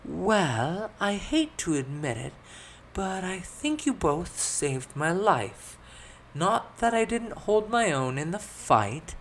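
A narrator voicing a young noblewoman in a fantasy story, pompous but unsure of herself, with a drawn-out delivery. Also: medium pitch, sing-song, a little hiss or hum, pitched above the performer's own voice, poor audio. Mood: haughty, grudging.

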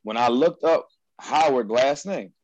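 Excitable slangy man, dry sound. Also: mid-range voice, measured pace, no echo, poor audio. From United States. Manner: excitable, slangy, repeats himself. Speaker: podcast host. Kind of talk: casual chat. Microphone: headphones with mic on a video call.

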